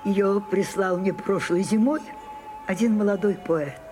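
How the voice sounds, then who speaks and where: A woman's voice: mid-range voice, music in the background, lightly acted. An older woman playing an elderly, imperious and wistful stage actress in a theatrical radio drama. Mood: dreamy, imperious. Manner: slow, deliberate, theatrical delivery.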